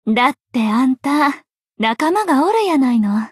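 Lilting, high-pitched young Kansai journalist. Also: female voice, sing-song, unhurried, breathy edge, no echo, studio quality, heavily acted. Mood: frank, consoling. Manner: soft, coquettish, lilting dialect with playful teasing. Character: teasing, breezy young female journalist and playful liar. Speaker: game voice actor. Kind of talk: Japanese anime game voice acting.

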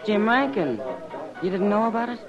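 An actress playing a friendly saloon keeper in a 1950s radio drama, frank and gently teasing. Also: female voice, mid-range voice, expressive, moderate pace, lo-fi sound, music in the background, crowd noise, natural voice.

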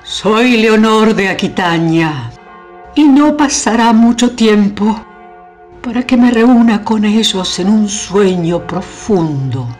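Woman playing old queen mother, Argentine accent, slow, imperious, theatrical, medium pitch, radio drama. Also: a little mellow, faint music behind, close to an everyday voice. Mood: solemn, melancholy. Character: imperious, nostalgic, bawdy old queen mother of medieval England.

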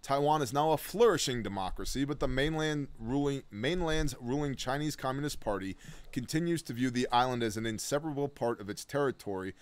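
A middle-aged man reads news articles aloud at his desk and cuts in with sardonic, blunt commentary. His voice sits at an ordinary male pitch. It is captured dry and close by a webcam. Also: a little fast, dry recording. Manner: sardonic, blunt asides between read passages. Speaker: host of a preparedness news show.

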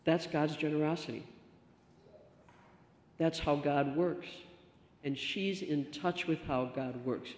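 A middle-aged woman pastor giving a stewardship talk from the lectern, telling a story with lively gestures. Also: deep voice, slow pace, pauses often. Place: church sanctuary. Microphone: stand mic at lectern. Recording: echoey church hall reverb.

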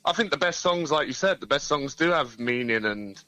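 An easygoing man from a band, chatting about his music in a relaxed way. His voice is bright and forward, and it sounds dry and close, with no room around it. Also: mid-range voice, normal speed, no echo, lo-fi sound. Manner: easygoing, hedging with "you know". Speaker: band member guest. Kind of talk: casual interview over the phone.

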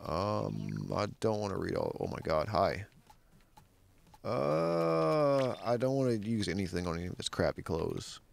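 Very deep, gravelly young man gaming. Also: big pitch swings, unhurried, slight background noise. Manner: laid-back, swears a lot, talks to the game and chat. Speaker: game streamer. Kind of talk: casual gameplay commentary. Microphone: studio mic with bass roll-off.

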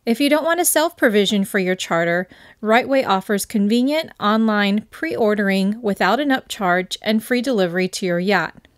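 A woman reading a scripted promotional voice-over in an even, informative manner. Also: mid-range voice, normal speed, no room sound, studio quality. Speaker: voice-over narrator.

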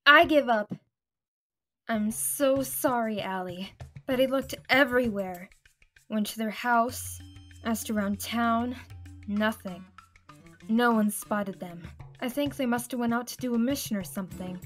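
An earnest, slightly exasperated female guardian creature from a cartoon dub, acted by a woman. The voice sits fairly high and speaks plainly, with music playing behind. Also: measured pace, pauses often, a bit bright. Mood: exasperated, apologetic. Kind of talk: animated comic dub acting.

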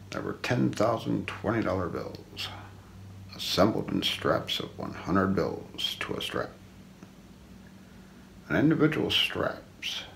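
Man, middle-aged, ASMR mystery narrator, solemn, low-pitched, faint background noise. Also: creaky. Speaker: narrator of an unsolved-mystery story. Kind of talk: ASMR scripted narration, true-crime documentary role play. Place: small indoor room.

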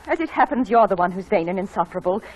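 A breathy, haughty young lady. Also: mid-range voice, lively intonation, rapid-fire, a little mellow. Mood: defiant. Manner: prim, haughty. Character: haughty, lovestruck, defiant young lady of good family. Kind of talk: 1940s radio drama acting. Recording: dull old radio broadcast.

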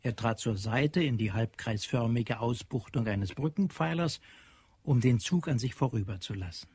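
A man's voice: mid-range voice, moderate pace, a little mellow, breathy edge. A man narrating a science fiction radio drama in a sober, scripted storytelling manner. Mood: measured.

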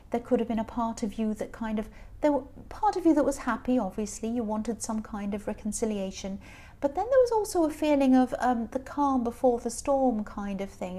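A woman with a slightly croaky voice giving a chatty, rambling tarot reading full of fillers. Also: fairly high pitch, darkish tone. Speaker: tarot reader. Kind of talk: casual spoken tarot reading.

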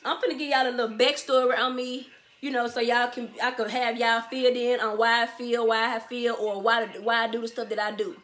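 A twenty-year-old woman from Memphis with a Southern accent, talking straight to camera in an animated, slangy way and repeating herself for emphasis. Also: a little high, fairly quick. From Memphis, Tennessee. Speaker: vlogger, small business owner. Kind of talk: casual Q&A vlog to camera. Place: bedroom, sitting on a bed, indoors.

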